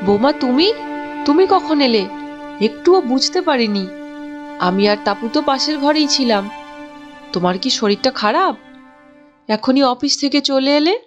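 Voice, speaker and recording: High-voiced caring mother. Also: expressive, unhurried, pauses often, dull audio, soft background music. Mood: surprised, concerned. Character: caring middle-aged mother and mother-in-law, gently worried. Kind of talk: Bengali cartoon story acting.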